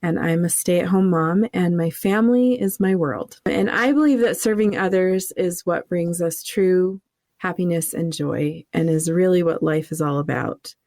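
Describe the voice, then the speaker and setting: A middle-aged woman from New England, co-hosting a podcast in an earnest, warm-hearted, faith-centered chat, laughing easily. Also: fairly low pitch, normal speed, no room sound, clean studio sound. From New England, USA. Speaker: podcast co-host.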